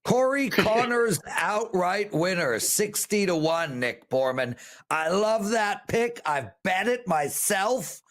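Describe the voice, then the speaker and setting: Raspy male host, loose and jokey, voice that swings up and down. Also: high voice, animated, slow pace, a bit bright. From Canada. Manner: loose, joking, rambling. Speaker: show host. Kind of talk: casual sports betting chat.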